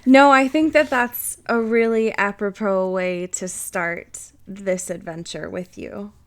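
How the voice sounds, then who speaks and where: A woman's voice: medium pitch, fairly even intonation, slow. A woman co-hosting a podcast in casual chat, gushing and emphatic with a self-mocking streak. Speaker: podcast co-host.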